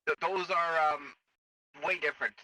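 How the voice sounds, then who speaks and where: A man improvising in-character roleplay over game voice chat, matter-of-fact, with a halting delivery. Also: fairly high pitch, steady tone, far from the mic, a crowd in the background, poor audio. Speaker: roleplayer acting as a Star Wars officer.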